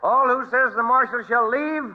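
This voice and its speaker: An actor playing a plain-spoken, official American frontier sheriff in a vintage Western radio drama. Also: male voice, very high-pitched, normal speed. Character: plain-spoken frontier sheriff keeping an unruly meeting in order. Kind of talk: 1950s Western radio acting. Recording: dull old radio recording.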